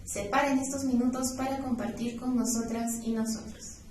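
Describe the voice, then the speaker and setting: A woman's voice: on the high side, fairly even intonation, normal speed, darkish tone. Echoey, distant young southern Peruvian woman podcast host. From Juliaca, Puno, Peru. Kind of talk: scripted podcast interviewing. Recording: echoey room, distant mic, faint noise.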